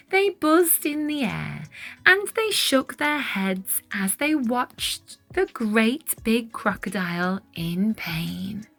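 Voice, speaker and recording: A woman reading a children's picture book aloud in an expressive, deliberate storytelling style with dramatic stress on key words. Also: a little high, gravelly.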